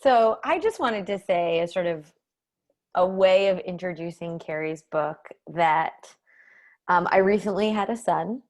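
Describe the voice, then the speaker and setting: A woman's voice: on the low side, lively intonation, measured pace. A woman from Massachusetts, an author, talking casually and reflectively on a video call. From Massachusetts, United States. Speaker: author and guest speaker.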